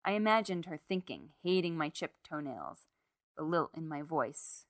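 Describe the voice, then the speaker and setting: A woman's voice: deep voice, sing-song, moderate pace, dry recording, studio quality. A woman reading a literary short story aloud as scripted narration.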